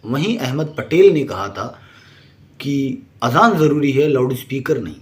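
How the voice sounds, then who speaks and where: A man's voice: medium pitch, very animated, a little slow. A man narrating a scripted explainer in an emphatic, didactic manner. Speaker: presenter.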